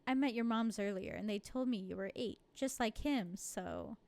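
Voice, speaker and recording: An upbeat, awkward dad from a visual novel, read by a woman in a close, dry recording. He sounds eager and hopeful, pitched a little higher than plain narration. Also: normal speed, a little mellow, no echo, close to an everyday voice. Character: friendly, eager, awkward dad, a stranger in town.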